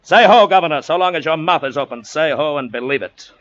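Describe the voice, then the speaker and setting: An actor playing a swaggering, menacing yet lovestruck pirate captain in old-time radio drama, with theatrical, archaic, grandiose phrasing. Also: male voice, fairly high pitch, animated, quick pace, fairly bright tone, slight background noise. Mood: threatening, boastful. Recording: dull, muffled old radio recording.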